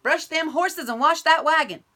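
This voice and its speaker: A woman's voice: high-pitched, normal speed, pitched above the performer's own voice. A woman voicing mean, bossy young cowboy stepbrothers in a storybook, barking orders. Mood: shouting. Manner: loud, barking, mocking.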